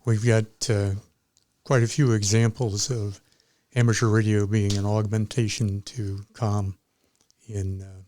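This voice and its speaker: Mellow, lowish-voiced man explaining. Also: little pitch movement, a little slow, a little hiss or hum. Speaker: amateur radio club member, guest. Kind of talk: explanatory interview talk.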